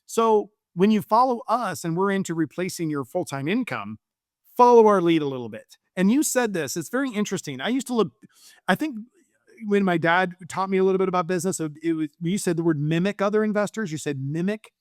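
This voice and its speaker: Male real estate investor as podcast guest: high voice, dry sound, conversational storyteller who punctuates points with check-in questions. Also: animated, brisk, no echo. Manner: anecdotal, checks in often with "right?" and "okay?". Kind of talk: casual conversational interview talk.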